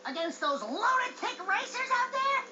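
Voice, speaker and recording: A boastful, wisecracking little cartoon sidekick, very high and wildly animated. The game audio is muffled, with music behind. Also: male voice, moderate pace, noisy background. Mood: cocky, jittery. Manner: cocky, jokey, excitable.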